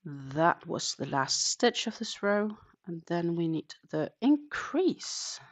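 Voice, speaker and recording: A woman's voice: on the low side, very animated, slow pace. A woman in her thirties, a native Danish speaker, chatting casually on a live crochet stream and counting her stitches aloud from her home. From Denmark. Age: 30s. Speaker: crochet channel host. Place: home in the Netherlands. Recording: dull lo-fi live-stream audio.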